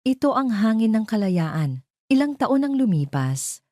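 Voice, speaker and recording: A woman narrating a scripted romance drama as a storyteller, in a measured, solemn manner. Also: fairly high pitch, big pitch swings, dark tone, a little hiss or hum.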